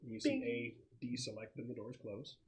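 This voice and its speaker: A man running a tabletop game as game master, narrating casually in a halting, conversational way. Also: mid-range voice, huge pitch swings, over a noisy crowd. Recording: noisy room recording with clicks.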